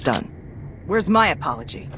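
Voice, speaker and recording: A woman's voice: low-pitched, very animated, moderate pace, background noise. An actress playing a brash, insolent young woman, a thief and engineer in a video game, with a sarcastic, slangy delivery. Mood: grudging. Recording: loud music underneath.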